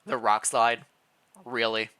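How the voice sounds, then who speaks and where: A man's voice: mid-range voice, level tone, slow, pushed and bright, smooth. A man voicing a young, weakened royal heir in a story reading, questioning and insistent. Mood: insistent, disbelieving.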